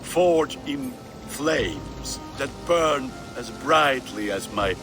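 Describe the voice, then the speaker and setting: A man's voice: medium pitch, expressive, drawn-out words. A man voicing a tender, sentimental Viking groom who speaks his vows in a flowery, heartfelt way. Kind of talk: video game voice acting. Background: music.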